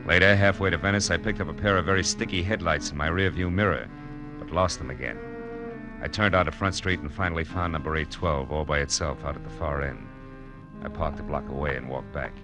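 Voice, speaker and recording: Low-voiced hard-boiled private detective. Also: male voice, fairly quick. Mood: hard-boiled narrating. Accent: American. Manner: hard-boiled, clipped, slangy. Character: tough wisecracking male private detective. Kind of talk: 1940s radio drama acting and first-person narration. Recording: old radio broadcast with loud music.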